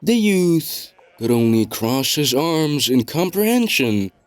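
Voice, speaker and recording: A man reading scripted story narration in a measured, deliberate storytelling delivery. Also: a little high, big pitch swings, no room sound. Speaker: narrator of a web novel fandub.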